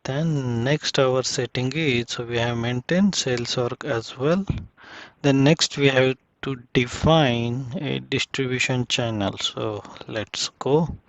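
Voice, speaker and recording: Man walking through software steps, muffled recording. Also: mid-range voice, takes it slowly, no room sound. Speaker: software tutorial instructor. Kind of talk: casual step-by-step screen demonstration.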